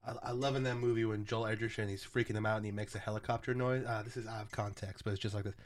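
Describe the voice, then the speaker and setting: A man's voice: on the low side, flat delivery, very fast, very noisy. A man co-hosting a podcast while sick, his voice a little off, rambling in casual chat. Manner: rambling, repeats words as he talks. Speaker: podcast co-host.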